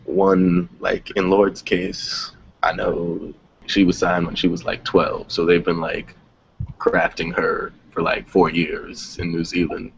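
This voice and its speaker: A man's voice: low voice, little pitch movement, slow pace, creaky. A man hosting a casual discussion in an easy conversational style. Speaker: host moderating a music discussion. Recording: dull audio with faint background noise.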